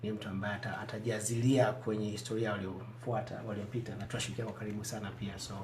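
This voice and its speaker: A middle-aged man from Tanzania, a guest in a TV studio interview, talking in a measured, reflective way with frequent restarts. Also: lowish voice, little pitch movement, echoey room, slight background noise. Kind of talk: interview, switching between English and Swahili.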